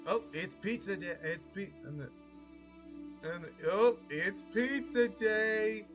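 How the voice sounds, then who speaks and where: A woman's voice: medium pitch, lively intonation, takes it slowly, over music. A woman chatting casually on a livestream. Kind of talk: livestream chat.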